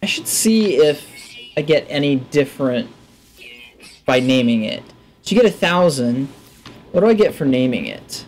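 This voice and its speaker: Guy doing a lets play, kinda creaky voice, goes up and down a lot, mumbling thoughts to himself. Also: medium pitch, very animated, normal speed, pauses often, a little mellow, slight background noise. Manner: offhand, musing aloud to himself. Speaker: gaming let's player. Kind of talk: casual gameplay commentary. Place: indoors at a desk. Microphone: headset.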